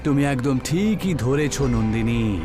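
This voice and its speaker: A man voicing a menacing, vengeful young ghost in a horror cartoon, speaking in a slow, deliberate, threatening way. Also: medium pitch, animated, over music, natural voice.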